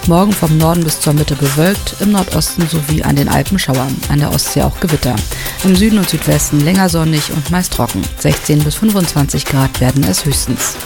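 A man reading a radio news bulletin and the weather in a steady, even delivery. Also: on the high side, brisk, vocal fry, music in the background.

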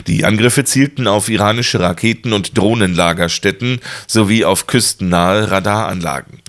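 A man reading the radio news, weather and football results in a crisp, measured way. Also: fairly low pitch, fairly bright tone. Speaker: radio newsreader.

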